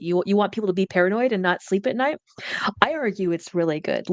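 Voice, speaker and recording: A woman's voice: medium pitch, dry recording. Woman talking on a cell phone. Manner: conversational, storytelling. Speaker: IT services guest. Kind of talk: panel discussion.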